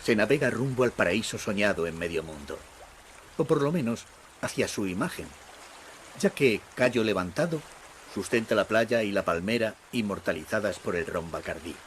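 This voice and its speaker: A man reading scripted documentary narration in a measured, descriptive way. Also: fairly low pitch, lively intonation, slight background noise. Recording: voice-over with loud music underneath. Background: music.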